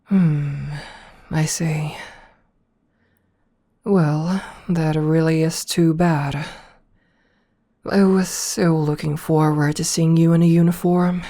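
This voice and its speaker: A woman playing a rich, possessive, seductive female CEO in an ASMR roleplay, softly formal and coaxing, with a politely commanding manner. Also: on the low side. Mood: disappointed, coaxing. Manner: slow, softly formal, coaxing with pet names.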